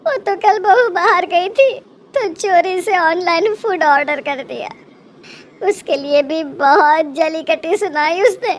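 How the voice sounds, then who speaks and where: A very high-pitched, whining mother-in-law from a cartoon tale, acted out by a woman. She is dramatic and full of self-pity, scheming behind a show of innocence. There is faint noise behind the voice. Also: slow pace. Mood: tearful self-pity. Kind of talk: Hindi cartoon moral story acting.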